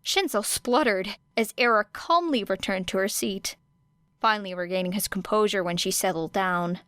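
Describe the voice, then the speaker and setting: A woman narrating an audiobook of a fan fiction story in a steady, even storytelling manner. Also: mid-range voice, moderate pace, smooth, no room sound. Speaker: podfic reader.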